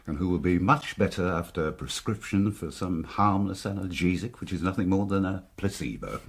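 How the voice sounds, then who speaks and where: An actor playing a genial, chatty family doctor, speaking a little hesitantly in a radio drama. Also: male voice, sits low, lively intonation, moderate pace, slightly rough, full character voice. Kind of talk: British radio drama acting.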